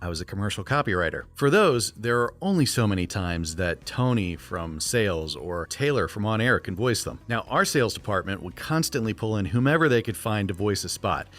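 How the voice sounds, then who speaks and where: An American man with a trained radio voice, coaching viewers in a clear, emphatic, scripted presentational style. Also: on the low side, very animated, a little fast, hardly any pauses, a bit bright. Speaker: voiceover coach and video host. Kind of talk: scripted instructional narration to viewers.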